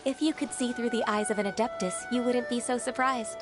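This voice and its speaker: A woman voicing a gentle, earnest young half-divine woman in a video game, speaking calmly and politely. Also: on the high side, fairly quick, over loud music, lightly acted. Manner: calm, polite, soft-spoken.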